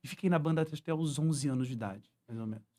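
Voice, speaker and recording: Higher-voiced, quick-talking Brazilian man. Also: mellow. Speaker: guest musician, singer-songwriter. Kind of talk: casual conversational interview.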